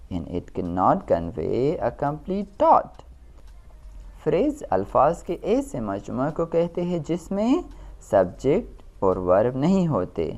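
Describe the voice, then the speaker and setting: Female teacher, Pakistani accent, low voice with hugely varied melody, reading a lesson deliberately and instructively. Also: mellow. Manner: instructional, deliberate, repeats key terms. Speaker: English grammar teacher. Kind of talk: scripted lesson narration with examples.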